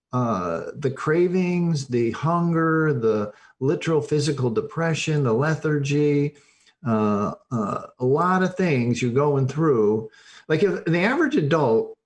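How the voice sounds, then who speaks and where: Emphatic, plain-spoken man explaining. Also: mid-range voice. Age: older. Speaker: interview guest, addiction recovery coach. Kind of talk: explanatory interview answers.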